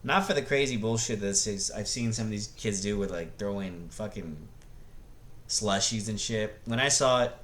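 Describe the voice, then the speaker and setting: A man's voice: fairly low pitch, fairly bright tone. A middle-aged man giving casual, rambling opinions on a vlog, blunt and sweary, often tripping into false starts and starting over. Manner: blunt, profane, halting with repeated false starts. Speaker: host of a movie review vlog.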